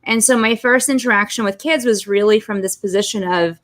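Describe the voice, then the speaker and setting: A woman in her thirties from Russia, a native Russian speaker, talking as a guest on a video-call interview in a chatty, quick way. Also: mid-range voice. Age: 30s.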